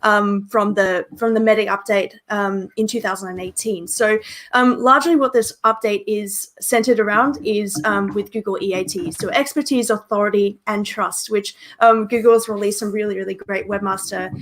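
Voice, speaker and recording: A woman's voice: medium pitch, steady tone, moderate pace, a bit bright. A young woman co-hosting a livestreamed meetup over a video call, chatty with many ums and likes. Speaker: meetup co-host.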